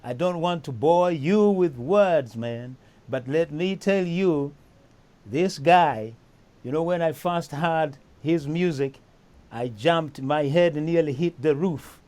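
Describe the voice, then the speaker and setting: A keen, talkative man, his voice sitting a little high for a man. He hosts a relaxed music chat and often doubles his words as he goes. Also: slow pace. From East Africa. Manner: enthusiastic, talkative, repeats himself. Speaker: host. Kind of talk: casual chat.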